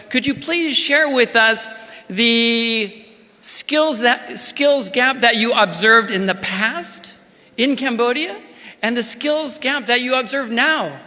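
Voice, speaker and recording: A man hosting a panel at a business summit, introducing guests and asking questions in a measured, formal, polite way. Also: very high-pitched, pushed and bright. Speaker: panel emcee. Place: large conference hall.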